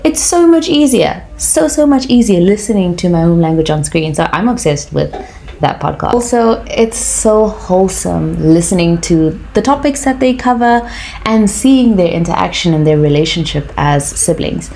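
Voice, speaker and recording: A woman's voice: fairly low pitch, expressive, moderate pace, vocal fry, mellow, a little hiss or hum. A young woman with a South African Xhosa accent chatting casually for a personal vlog, slipping between English and isiXhosa. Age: young adult. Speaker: vlogger.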